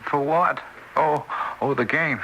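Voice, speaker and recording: A nervous, apologetic spirit in vintage radio drama. The voice is piercingly sharp with a creaky grain, and the delivery stumbles hesitantly. Faint old-recording noise surrounds it. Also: male voice, on the high side, takes it slowly, full character voice, low-quality recording. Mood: eager, nervous. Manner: stammering, hesitant. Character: nervous, apologetic ghostly friend, reluctant reaper.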